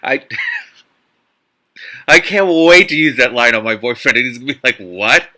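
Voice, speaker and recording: A man's voice: mid-range voice, animated, moderate pace, sharp tone. An American man giving informal, joking asides during a read-aloud, irreverent, incredulous and given to swearing. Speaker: host reading a book aloud with commentary.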